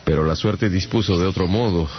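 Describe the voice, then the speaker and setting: A man narrating a radio drama as a traveller recounting his adventure, in a theatrical, deliberate storytelling manner. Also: low voice, natural voice. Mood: measured suspense. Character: adult male traveller recounting his strange captivity. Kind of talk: dramatised first-person audiobook narration. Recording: dull radio audio over clear music.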